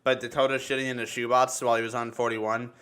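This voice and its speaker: A young man hosting casual livestream banter, excitable and peppered with profanity. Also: medium pitch, fast talker, smooth. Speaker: podcast host.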